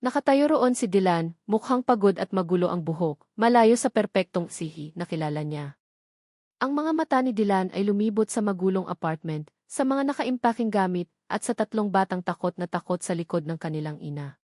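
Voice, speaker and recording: A woman's voice: mid-range voice, expressive, fairly quick, darkish tone, no echo, clean studio sound. A woman narrator reading a scripted romantic drama in a solemn, dramatic storytelling manner.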